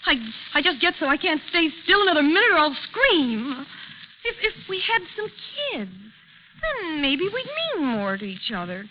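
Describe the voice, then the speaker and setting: An actress playing a lonesome, restless, childlike young wife in an old radio drama, with folksy speech and cooing baby talk. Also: female voice, sits high, sing-song, moderate pace. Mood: restless, pleading. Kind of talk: 1940s radio drama acting. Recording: noisy, dull old radio recording.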